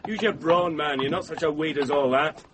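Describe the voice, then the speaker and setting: An actor playing a brash, boastful stable groom in a radio drama. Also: male voice, fairly high pitch, normal speed, close to an everyday voice. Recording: noisy vintage radio drama recording.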